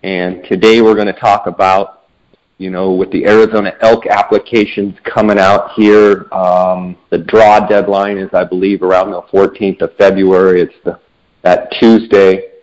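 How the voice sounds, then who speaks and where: Male podcast host, low even voice, conversational delivery, slightly lo-fi sound. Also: moderate pace.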